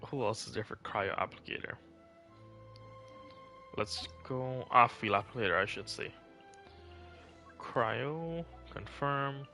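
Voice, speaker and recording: A man's voice: medium pitch, lively intonation, slow, pauses often, pushed and bright, soft background music. A man chatting casually on a live game stream, rambling and thinking aloud as he goes.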